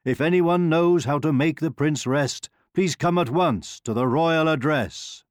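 A man playing an exasperated, commanding storybook king with a loud, imperious delivery. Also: a little high, a little slow, no echo, studio quality, voice pushed up, natural voice.

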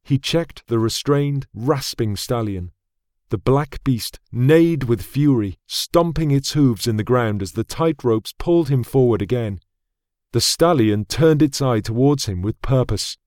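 A man narrating a fantasy audiobook in a measured, dramatic storytelling manner on a close studio mic. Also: mid-range voice, lively intonation, darkish tone, no room sound.

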